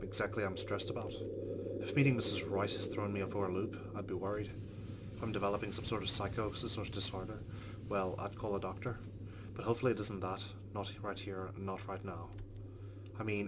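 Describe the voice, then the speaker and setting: A man's voice: lowish voice, noisy background. A man playing a visual novel, reading the story narration aloud in a casual, chatty way with his own comments. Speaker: let's play gamer.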